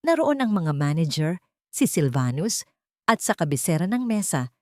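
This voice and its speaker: A woman's voice: low voice, sing-song, moderate pace, dry recording, clean studio sound. A woman with a Filipino accent narrating a scripted romantic drama as a storyteller.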